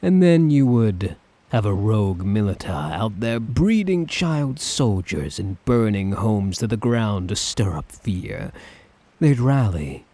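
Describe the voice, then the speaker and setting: Man acting as a husky, slow-drawling tyrant. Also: on the low side, very animated, warm tone, no room sound, full character voice. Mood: cynical, lecturing. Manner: theatrical, drawling, condescending endearments. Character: smug, obsessive male tyrant dictator, menacingly affectionate. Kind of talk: ASMR roleplay, dramatic monologue to listener.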